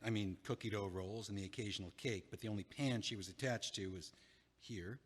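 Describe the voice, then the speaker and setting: A man's voice: on the low side, a little fast, husky, long reverb tail. An actor playing a hesitant, self-deprecating man who rambles as he pictures his old home, in an audio play. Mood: rambling. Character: nervous, wry man locked out of his home.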